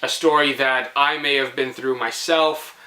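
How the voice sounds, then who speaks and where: Young male vlogger, playful and rambling storyteller, forceful bright tone, roomy echo. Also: mid-range voice, fairly even intonation, a little slow. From Canada. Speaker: host of a storytelling video series. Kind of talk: casual comic storytelling to viewers.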